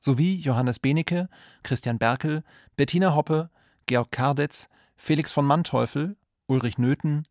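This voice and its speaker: A man's voice: a little high, unhurried, darkish tone, dry recording, studio quality. A man announcing scripted radio drama credits as a broadcast announcer.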